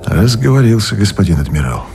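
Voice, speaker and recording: An actor playing a sardonic revolutionary official in a radio play, with a very husky, raspy voice and a dry, animated manner. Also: male voice, on the low side, a little fast, music in the background.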